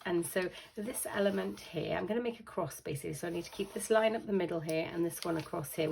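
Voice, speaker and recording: A British woman with a croaky voice hosting a casual craft tutorial, chatty and full of ums as she explains each step. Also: on the low side, noisy background. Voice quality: croaky, with a lingering cough. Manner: chatty, with frequent ums and self-corrections.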